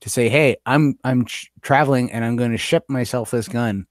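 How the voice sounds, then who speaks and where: A man's voice: medium pitch, normal speed, no room sound, studio quality. A man with a Canadian accent co-hosting a casual podcast discussion, thinking aloud somewhat hesitantly. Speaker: podcast co-host.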